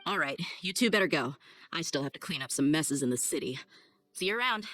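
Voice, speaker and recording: An actress playing a confident, easygoing, tough mercenary in a video game, with a breezy, informal, streetwise manner. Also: female voice, low-pitched, expressive, a little fast, fairly bright tone, soft background music. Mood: brisk, satisfied. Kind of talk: casual video game dialogue acting.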